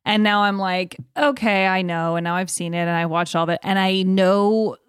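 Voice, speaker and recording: A woman's voice: medium pitch, steady tone, normal speed, clear, resonant tone, no echo, studio quality. A woman with an American accent chatting casually as a podcast co-host.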